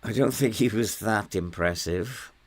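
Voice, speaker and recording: An older man from England with a hoarse, failing voice, commenting casually on a football management game and muttering to himself as he plays. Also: fairly low pitch, measured pace. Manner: muttering, talking to himself while playing. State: losing his voice, hoarse. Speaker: gaming YouTuber.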